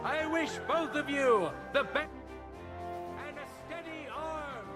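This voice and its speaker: A man's voice: very high voice, takes it slowly. A man playing a proud medieval tournament herald in a video game, proclaiming ceremoniously and projecting to a crowd. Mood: ceremonious, wry. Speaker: tournament announcer. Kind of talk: theatrical game voice acting, public proclamation. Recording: loud music and crowd behind voice.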